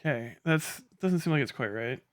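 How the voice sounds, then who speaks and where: A man chatting casually as a livestream host, swearing freely in an excitable, exasperated manner into a close microphone. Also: medium pitch, moderate pace, no room sound.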